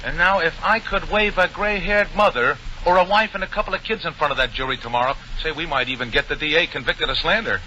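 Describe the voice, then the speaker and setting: Bright, forceful scheming lawyer sidekick. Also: male voice, fairly high pitch, fast talker, hardly any pauses. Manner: chatty, hedging. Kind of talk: 1940s radio acting. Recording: dull, lightly noisy vintage radio broadcast.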